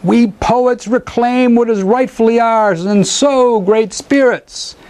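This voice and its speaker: A man performing an eccentric, high-pitched declaiming bard. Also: unhurried, a little mellow, dry recording. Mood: defiant, invoking. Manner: theatrical, incantatory declamation. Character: eccentric grandiose male elder poet, bardic and defiant. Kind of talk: dramatic epic poetry recital.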